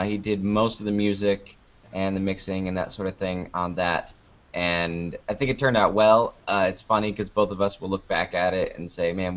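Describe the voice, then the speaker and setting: Male podcast guest, lower register, thinks aloud conversationally, dull low-fidelity recording. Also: a bit bright. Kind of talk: casual interview chat. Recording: dull lo-fi online call audio.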